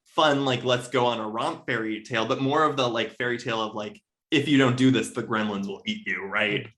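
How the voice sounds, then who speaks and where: A man speaking as a podcast guest, discussing fiction casually in an emphatic, halting way. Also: medium pitch, a bit bright. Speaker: podcast guest, literary editor. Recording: roomy, echoey.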